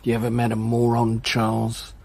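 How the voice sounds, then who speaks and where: A man's voice: medium pitch, completely flat delivery, normal speed, gravelly, darkish tone. An actor playing a boozy, bitter middle-aged man in a radio drama, rambling and stammering. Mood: bitter self-pity. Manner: rambling, self-pitying, stammering.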